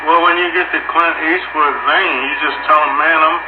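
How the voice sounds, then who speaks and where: A man's voice: fairly high pitch, fairly even intonation, normal speed. A man talking informally over two-way radio, repeating words for emphasis. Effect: two-way radio transmission. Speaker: amateur radio operator. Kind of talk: casual radio chat. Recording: very noisy, echoey, muffled two-way radio.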